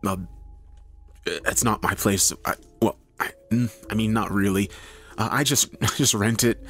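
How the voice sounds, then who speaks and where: A man's voice: on the low side, moderate pace, faint music behind, lightly acted. A man playing an anxious, evasive husband in an audio story, stammering and hesitant as he pleads. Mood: nervous, evasive. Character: nervous husband lying to police, anxious and evasive.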